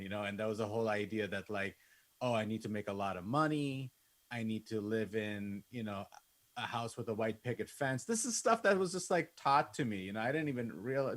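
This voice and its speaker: A man's voice: on the low side, a bit bright. A man in his thirties from Bangladesh, a musician talking informally and conversationally to an online class. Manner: informal, conversational, peppered with like and you know. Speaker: musician and music producer giving a masterclass. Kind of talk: casual autobiographical talk to an online class. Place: indoors on a video call.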